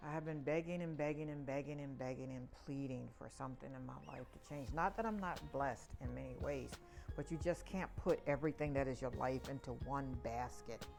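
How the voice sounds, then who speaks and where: A woman's voice: a bass voice, brisk, vocal fry, darkish tone, faint music behind. A woman in her sixties sharing personal reflections in conversation, emphatic and repeating words for stress. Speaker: podcast guest, the host's mother.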